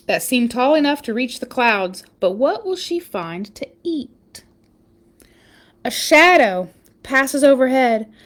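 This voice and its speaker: Female picture-book reader: high voice, very animated delivery, expressive emphasis, casual asides to young listeners. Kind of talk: animated read-aloud storytelling with casual asides.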